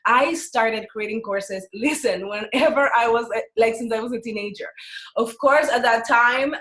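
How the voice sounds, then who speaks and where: A woman with a Dominican Spanish accent speaks in a fairly high voice. She sounds like an excited coach, casual and emphatic as she motivates her listeners. The recording is noticeably echoey, with plenty of room sound around her words. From Dominican Republic. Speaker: business coach and course creator. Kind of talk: casual motivational talk to camera.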